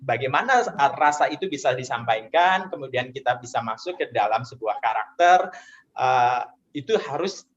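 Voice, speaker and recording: A man speaking as a guest voice actor in an interview, explaining at length with many pauses and fillers, on dull lo-fi audio. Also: mid-range voice. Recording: dull lo-fi online audio, faint noise.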